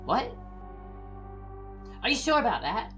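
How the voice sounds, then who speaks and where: Woman as teenage schoolgirl, comic dub, bright tone, very expressive pitch, upbeat, blunt, stubbornly friendly. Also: takes it slowly, faint music behind, exaggerated voice. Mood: alarmed. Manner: blunt, breezy, casual. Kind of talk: voice acting in a comic fan dub.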